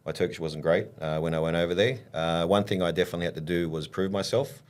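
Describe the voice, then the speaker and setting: A man, middle-aged, telling stories from his playing days in a relaxed interview, with many pauses and restarts. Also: deep voice, smooth. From Australia. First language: English. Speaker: football coach and former player, podcast guest.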